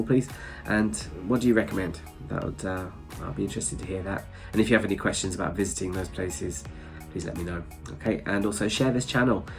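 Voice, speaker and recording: A man's voice: on the low side, darkish tone. A man with a blocked-up nose from hay fever, chatting casually and a little rambling to his viewers, with small chuckles. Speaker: travel vlogger. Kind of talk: casual chat to camera.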